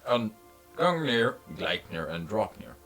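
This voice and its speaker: Very animated, low-voiced man playing a tabletop game. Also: slow pace, reverberant. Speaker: tabletop roleplaying player. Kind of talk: animated in-character game talk and table chat. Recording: faint music behind. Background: faint music.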